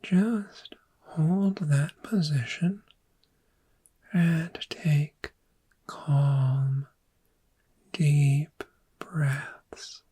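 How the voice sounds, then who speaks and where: A woman voicing a calm, patient dragon therapist in a gentle, breathy, soft-spoken ASMR roleplay, with measured, reassuring instruction. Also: low voice, exaggerated voice. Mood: calm guiding. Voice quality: breathy, whispery.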